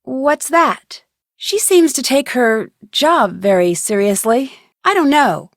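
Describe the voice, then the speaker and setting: A plucky, inquisitive young American female detective in a video game. Her voice sits fairly high and is recorded close and dry. She talks conversationally and crisply, as if puzzling things out. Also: animated, slow, fairly bright tone, no echo, exaggerated voice. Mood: matter-of-fact. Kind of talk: scripted video game voice acting.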